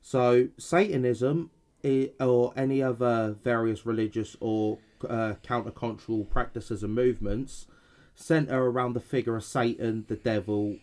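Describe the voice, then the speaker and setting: A man's voice: mid-range voice, steady tone, a little slow, a little mellow. A man co-hosting a podcast, sounding a bit nasal as he recovers from an illness, reading definitions from notes with some stumbles and restarts. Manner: reads definitions with hesitations and restarts. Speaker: podcast co-host.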